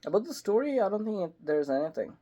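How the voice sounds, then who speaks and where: A man voice actor chatting casually in an interview, telling stories with lively jumps in pitch and volume and loose, hesitant phrasing. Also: fast talker. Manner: animated storyteller, jumps higher and louder when excited. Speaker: voice actor guest.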